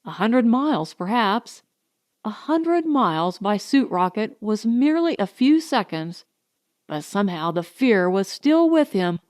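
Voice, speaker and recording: A woman narrates a science fiction story in a suspenseful, deliberate style. She reads slowly in short weighted phrases, with a mid-pitched voice and a close, dry sound. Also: big pitch swings, darkish tone, dry recording. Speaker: audiobook narrator.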